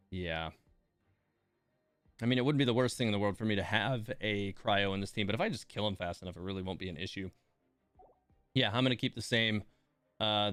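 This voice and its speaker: A man's voice: fairly low pitch, fairly bright tone, smooth, no echo. A man giving casual live game commentary as a streamer, thinking aloud in a laid-back way.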